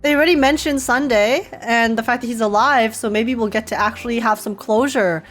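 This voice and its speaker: A woman's voice: fairly high pitch, a little fast, a bit bright, soft background music. Chatty young woman reacting to a game. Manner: chatty, thinking aloud. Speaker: game streamer reacting to a story. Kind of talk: casual reaction commentary.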